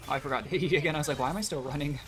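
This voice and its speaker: Casual game streamer, mid-pitched, talking through thoughts live with light music underneath. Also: racing. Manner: casual, thinking aloud. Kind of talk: casual live gameplay commentary. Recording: music behind the voice.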